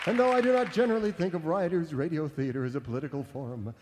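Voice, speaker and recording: A man's voice: a little high, fast, big-room sound, a crowd in the background, background noise, close to an everyday voice. A man playing a pompous, speechifying older male activist guest in a radio comedy sketch. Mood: orating. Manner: orotund, speechifying, flustered. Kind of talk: 1990s radio comedy acting.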